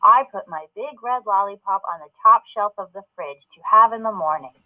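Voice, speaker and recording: A woman's voice: mid-range voice, normal speed. Woman reading a storybook, phone-like sound. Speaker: storybook narrator. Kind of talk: children's story read-aloud.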